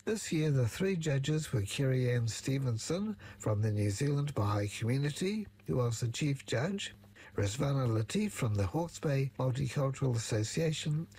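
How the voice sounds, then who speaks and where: A man radio presenter reading scripted narration in a plain, even way. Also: medium pitch, moderate pace, slightly rough.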